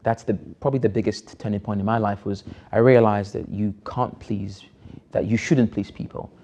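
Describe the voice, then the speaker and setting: A man's voice: on the low side, fairly even intonation, moderate pace, dark tone, slight background noise. A man in his twenties, a film director, talking reflectively about his past in an interview, hesitating and restarting often. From Nigeria. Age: 20s. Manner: hesitant, many restarts and "you know".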